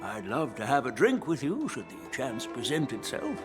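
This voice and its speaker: A man's voice: medium pitch, wildly swooping pitch, moderate pace. An actor playing a genial, easygoing elderly male general who chuckles and avoids taking sides, in a game cutscene. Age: older. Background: background music.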